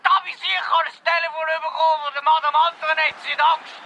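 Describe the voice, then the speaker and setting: A man's voice: very high voice, flat delivery, fast talker, bright, forceful tone, dull audio, slight background noise, exaggerated voice. A man playing a grumpy, swearing boss in a Swiss German audio drama, blustering and grumbling over the phone. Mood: irritated ranting. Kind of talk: comic audio drama acting.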